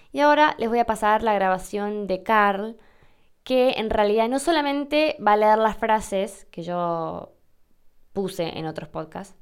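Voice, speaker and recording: A woman with a mid-range voice that is smooth and resonant. She hosts a podcast, reading listener mail aloud and introducing recordings in a clear, measured way. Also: medium pitch. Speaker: podcast host.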